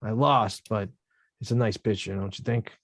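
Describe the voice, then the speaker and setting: A man's voice: on the low side, normal speed, dry recording, clean studio sound. Rocky, a blunt boxer, read by a man. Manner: slangy, blunt, self-mocking. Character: Rocky, a rough-talking young male boxer. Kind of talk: script table read.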